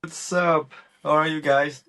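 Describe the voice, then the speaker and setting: A man's voice: fairly high pitch, fairly even intonation, unhurried, pushed and bright. A man from France with a French accent, a guest on a casual video-call chat, laid-back and hesitant, with many fillers.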